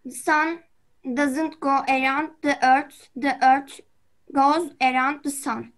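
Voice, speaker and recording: A woman's voice: high-pitched, fairly even intonation, slow pace. A teenage girl with a Turkish accent carefully reading a class exercise aloud over a video call. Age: teens. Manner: careful, self-correcting. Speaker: student.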